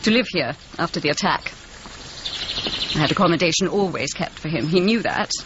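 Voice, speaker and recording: A muffled radio drama recording with clear noise behind it carries a dignified noble patroness. She speaks gravely and formally, in measured, deliberate phrases. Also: low-pitched, lively intonation. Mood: regretful, formal. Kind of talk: naturalistic radio drama acting.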